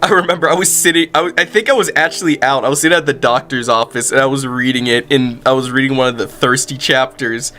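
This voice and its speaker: A man's voice: medium pitch, fairly even intonation, fast, few pauses, pushed and bright. A man interviewing casually as a stream host, enthusiastic and teasing, with a joking conversational style. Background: music.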